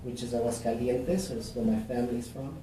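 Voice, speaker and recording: A man's voice: medium pitch, a little fast, noisy background. A man from Mexico answering interview questions, talking easily with lots of fillers and restarts. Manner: relaxed, rambling with many fillers and restarts. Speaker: realtor. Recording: roomy, echoey, mic at a distance.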